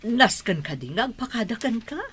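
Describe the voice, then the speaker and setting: A woman playing a skeptical but tolerant middle-aged mother in an audio drama, with a husky voice. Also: a little high, sing-song, fairly quick, a bit bright, exaggerated voice. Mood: puzzled, skeptical.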